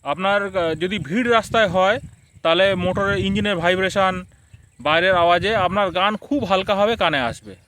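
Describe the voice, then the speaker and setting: High-pitched man reviewing gear. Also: moderate pace, fairly bright tone, a little hiss or hum. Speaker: product reviewer, vlogger. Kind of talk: casual spoken product review, explaining to viewers.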